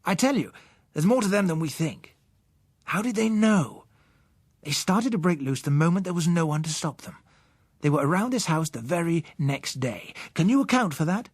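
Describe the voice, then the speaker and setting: A man's voice: on the high side, expressive, normal speed, no room sound, studio quality, voice pushed up. A man playing a suspicious, agitated man in an audiobook, arguing his fears with insistent emphasis. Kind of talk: audiobook character acting.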